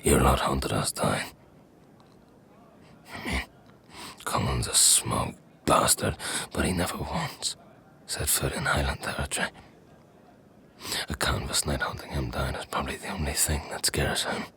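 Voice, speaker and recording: An actor playing a bitter, sardonic, wounded man in an audio drama, speaking in a hushed, breathy voice. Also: expressive, heavily acted. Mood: resentful. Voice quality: breathy, whispery, very deep voice. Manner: slow, hushed, probing and sardonic.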